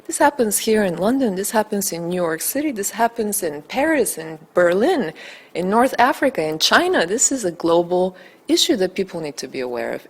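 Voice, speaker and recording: A native Bulgarian woman answering interview questions as a documentary director, earnest, deliberate and emphatic. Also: lowish voice, breathy edge.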